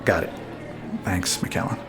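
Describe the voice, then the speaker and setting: A man playing an earnest, humble boat captain in an audio drama, speaking sincerely and a bit haltingly. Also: a crowd in the background, lightly acted. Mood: earnest, tender. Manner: halting, sincere, plain-spoken. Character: earnest, humble former boat captain in love-tinged friendship. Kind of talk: naturalistic audio drama acting.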